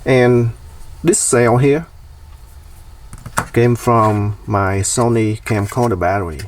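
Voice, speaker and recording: A man's voice: medium pitch, slow, distant mic, slight background noise. A man presenting a casual, hands-on DIY explainer.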